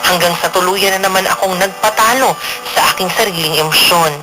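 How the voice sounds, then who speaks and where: A woman's voice: brisk, natural voice. Lovelorn young Filipino woman, voiced by an actress, confiding over music. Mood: lovelorn, vulnerable. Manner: confiding, earnest, switches between Tagalog and English. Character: lovelorn young Filipino-Taiwanese woman telling her story by letter. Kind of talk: dramatized letter narration for radio drama. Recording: low-quality radio capture with music underneath.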